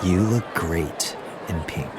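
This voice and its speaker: A man's voice: low-pitched, slow, noisy background. An actor playing a smitten, playful young man in a romantic audio drama. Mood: excited, playful. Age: young adult.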